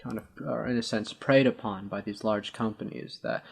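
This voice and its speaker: A man co-hosting a talk show, debating casually in a halting, wordy way with formal turns of phrase. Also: on the low side, steady tone, gravelly, slightly rough.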